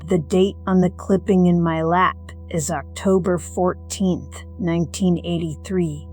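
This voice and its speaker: A woman playing a middle-aged retired firefighter telling her own story in an audio drama. Her voice sits fairly low and she goes very slowly, measured and reflective with a wry edge. Soft music runs underneath. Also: steady tone, natural voice, rough recording. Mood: confiding, grave. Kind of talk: first-person scripted story narration.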